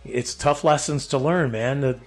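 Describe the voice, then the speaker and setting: Male podcast host, affirming, keeps saying yeah, mid-pitched. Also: normal speed, faint music behind. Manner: affirming, frequent "yeah" and "that's right". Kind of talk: casual interview chat.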